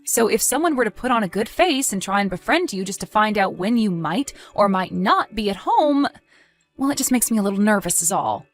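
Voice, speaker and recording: A woman's voice: medium pitch, lively intonation, brisk, faint music behind, close to an everyday voice. A woman playing a caring, cautious and practical wife, fussing conversationally in an audio drama. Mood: worried, insistent.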